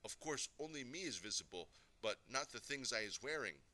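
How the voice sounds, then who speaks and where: A man voicing a boastful, excitable young boy telling his dreams in childlike, ungrammatical speech for a storybook. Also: medium pitch, fairly quick. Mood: excited.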